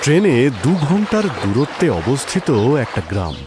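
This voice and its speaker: A man's voice: mid-range voice, huge pitch swings, moderate pace, background noise. A man giving dramatic scripted narration of a story, as a storyteller. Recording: music clearly behind the voice.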